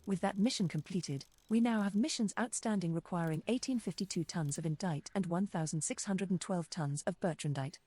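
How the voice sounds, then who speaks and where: A woman's voice: on the low side, fairly quick, few pauses, mellow. A woman voicing a flirty, playful spaceship computer copilot that gives scripted status updates in a game. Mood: businesslike. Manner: saucy, playful, informative. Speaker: ship's computer voice assistant. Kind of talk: scripted game voice pack lines. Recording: game stream with clear background noise.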